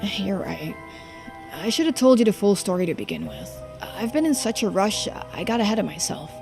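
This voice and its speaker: A woman voicing an earnest, subdued young diver in a video game, speaking seriously and with quiet determination. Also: mid-range voice, animated, normal speed, over music, lightly acted. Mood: earnest, confiding.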